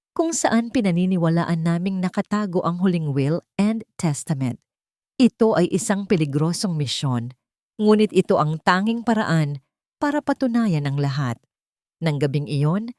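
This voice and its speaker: A woman narrating a first-person dramatic audiobook in a steady, measured way. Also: fairly low pitch, no echo, clean studio sound.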